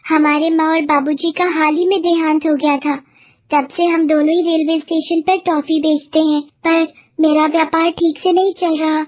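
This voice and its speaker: Woman as an earnest, very high-voiced little girl. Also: no echo, lo-fi sound. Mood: tearful, pleading. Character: earnest young orphan girl selling food, grateful. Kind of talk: cartoon moral story dialogue.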